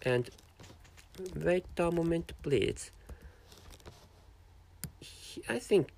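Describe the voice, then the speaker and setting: A man whose first language is Japanese, speaking English with a Japanese accent in a halting solo commentary, repeating words and correcting himself. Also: on the high side, lively intonation, vocal fry, a little mellow, slight background noise. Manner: halting, with heavy repetition and self-correction. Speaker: podcast host.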